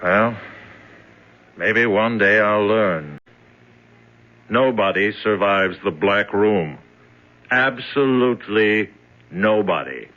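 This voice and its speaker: An actor playing a commanding, patronizing male prison chief, speaking deliberately and emphatically in an old-time radio drama. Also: on the low side, a bit bright, dull audio. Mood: defensive, regretful.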